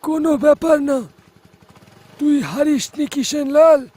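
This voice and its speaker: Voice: sits high, level tone, normal speed, mellow, close to an everyday voice. A performer voicing a greedy, cowardly rickshaw driver with a whining, exaggerated cartoon delivery. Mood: scheming. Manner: whining, wailing pleas.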